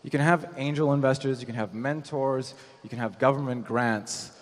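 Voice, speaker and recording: A man speaking as an angel investor on a conference panel, explaining his points in a measured way with frequent "you know". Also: medium pitch. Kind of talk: panel discussion, explanatory talk. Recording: echoey hall with long reverb.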